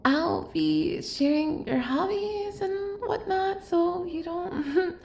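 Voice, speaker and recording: A woman's voice: very high voice, slow pace, vocal fry, echoey room, slight background noise, full character voice. A woman playing an obsessive, lovestruck kidnapper in an intimate audio roleplay, doting and possessive, coaxing the listener with hesitant asides. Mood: possessive, awkward. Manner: soft, coaxing, hesitant asides, drops into whispers.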